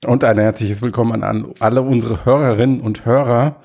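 Man, podcast host, husky voice, fry, friendly, measured. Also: mid-range voice, fairly even intonation, darkish tone. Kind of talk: podcast host introduction and questions.

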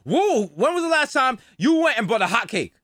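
A man's voice: normal speed, no echo, clean studio sound. A young man co-hosting a casual comedy podcast, riffing jokes and slipping into mock voices. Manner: riffing, mock voices, lively swings in pitch. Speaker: podcast co-host.

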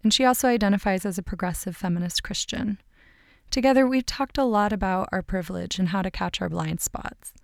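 A woman's voice: mid-range voice, fairly quick, gravelly, no echo, clean studio sound. A woman hosting a podcast, reading scripted narration. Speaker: podcast host. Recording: music under the voice. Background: music.

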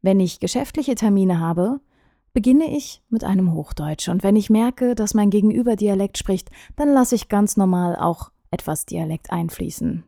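A woman speech coach from Thuringia in Germany, speaking to her viewers in a clear, measured, trained delivery and often asking them questions. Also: mid-range voice, lively intonation, dark tone, no room sound, studio quality. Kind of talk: scripted talk to camera.

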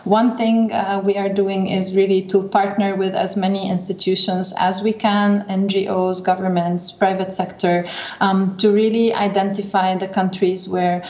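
A woman's voice: mid-range voice, little pitch movement, darkish tone. Middle-aged female interview guest from Lebanon, hesitant delivery, dull recording with room echo. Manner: hesitant, searching for words with frequent pauses. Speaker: interview guest, energy division chief. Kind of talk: unscripted interview answers. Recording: dull lo-fi roomy sound, faint noise.

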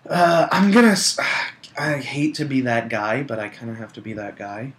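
A man's voice: medium pitch, moderate pace, slight background noise. A young man co-hosting a casual chat show, rambling and self-deprecating, laughing often as he talks. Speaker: podcast co-host.

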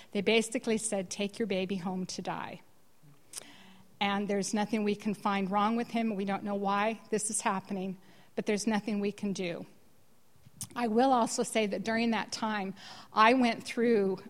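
An older woman from California with an American accent, sharing her personal testimony to a church congregation, often clearing her throat. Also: medium pitch. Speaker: guest speaker sharing testimony. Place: church hall. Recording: long echo of a church hall.

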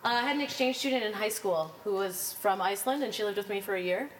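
A woman in her thirties answering rapid questions in a casual group chat, giggly and chatty. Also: mid-range voice. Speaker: interviewee, chemistry faculty. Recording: echoey room, faint background hum.

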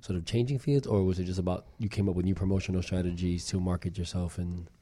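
Deep voiced guy cohost, quick and relaxed. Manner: relaxed, affirming mm-hmms. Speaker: co-host. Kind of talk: casual interview chat.

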